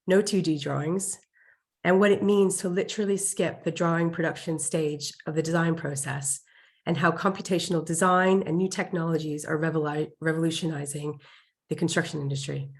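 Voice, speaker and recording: A woman's voice: low voice, fairly even intonation, a little fast, gravelly. A woman moderating an online webinar on a video call, introducing the next speaker politely with some um fillers.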